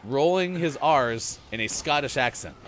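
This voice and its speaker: A man's voice: medium pitch, animated, moderate pace, background noise. A man co-hosting a morning show, bantering casually in a joking, rambling, mock-serious manner.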